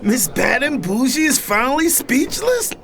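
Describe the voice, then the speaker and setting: A man's voice: extremely high pitch, very animated, unhurried, gravelly, a crowd in the background, exaggerated voice. A man playing a cocky, mocking young playboy brother in an audio drama, with a taunting drawl. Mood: mocking, amused.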